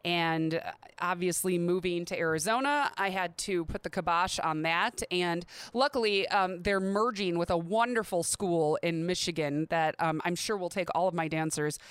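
A woman's voice: fairly low pitch, normal speed, clear, resonant tone, dry recording. A woman, hosting a podcast in a chatty, candid, self-deprecating way. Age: 30s. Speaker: podcast host. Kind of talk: casual solo podcast talk.

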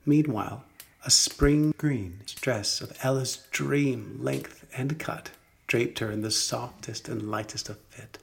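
A man reading a children's story as narrator, in an expressive, deliberate storytelling manner. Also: medium pitch, creaky. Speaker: storybook reader.

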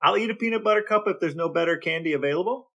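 A man's voice: sits high, very fast, fairly bright tone. An American man co-hosting a podcast with casual, conversational talk. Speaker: podcast co-host.

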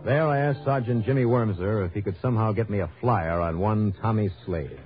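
A man plays a self-assured American insurance investigator in an old radio detective show. His voice is low, his questions cool and wry, on a muffled recording with music behind. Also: brisk, close to an everyday voice. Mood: narrating, intent. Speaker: lead detective and narrator. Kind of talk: 1960 radio detective drama acting.

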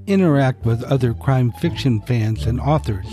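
A man's voice: mid-range voice, moderate pace, music in the background. A man hosting a podcast, reading introductions and asking friendly, upbeat questions. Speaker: podcast host. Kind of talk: interview hosting, scripted reads.

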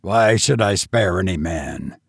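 A man's voice: low-pitched, lively intonation, a little slow, creaky, husky, no room sound, studio quality, voice pushed down, heavily acted. A man voicing an insane, murderous tavern host who escaped from prison, roaring and laughing madly. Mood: menacing. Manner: roaring, mad mirthless laughter.

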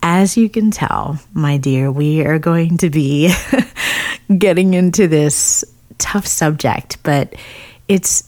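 Low, slow-talking woman podcast host. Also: no echo. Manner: earnest, encouraging, addresses listener as friend; scripted upbeat intro, then relaxed musing talk. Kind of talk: faith-based podcast talk.

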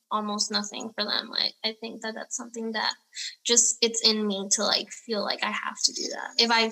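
A woman's voice: on the high side, bright, forceful tone, poor audio. A woman from Texas and Central America chatting casually and informally as an interview guest, peppering her talk with "like". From born in Laredo, Texas, raised between there and Central America. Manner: informal, heavy use of "like". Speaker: interview guest, homelessness activist. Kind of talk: casual chat.

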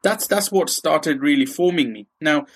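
A man's voice: fairly high pitch, expressive, normal speed, husky. Hesitant man from Kenya telling his story. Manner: hesitant, many fillers and restarts, laughs easily. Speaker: dentist and guest. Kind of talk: casual interview storytelling.

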